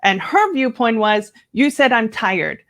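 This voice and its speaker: American woman on an interview, chatty, jumps up high when she quotes people. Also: a little slow. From United States. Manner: animated, acts out quoted dialogue in a higher voice. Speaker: guest, English coach. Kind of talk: conversational storytelling interview.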